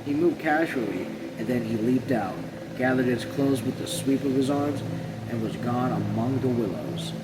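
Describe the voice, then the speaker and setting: Man reading a novel over loud noise. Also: mid-range voice, moderate pace. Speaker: read-along narrator. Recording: loud steady air or car noise.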